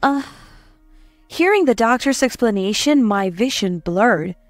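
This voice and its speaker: A woman reading a first-person dramatic story as narrator, in an expressive, emotive way. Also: on the high side, measured pace, no room sound. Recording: dry close voice over faint music. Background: soft background music.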